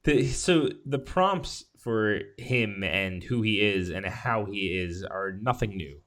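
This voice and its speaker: A man with a lowish voice talks casually as a podcast co-host. He is agreeable in manner and feels his way through sentences, often backing up to rephrase. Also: lively intonation, takes it slowly, a bit bright. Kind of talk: casual movie discussion.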